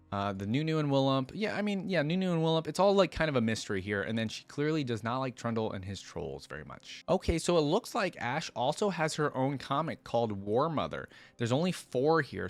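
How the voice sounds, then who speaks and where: A man's voice: on the low side, no echo. A man hosting a reaction video, reading aloud and commenting in a casual, informal, curious way. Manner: informal, curious, frequent "like" and "kinda".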